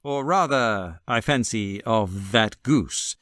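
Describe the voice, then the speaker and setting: A British man voicing a suave, confident gentleman detective in a storybook reading, with a smooth, assured manner. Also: lowish voice, lively intonation, slow, dry recording, clean studio sound. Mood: cheery, teasing.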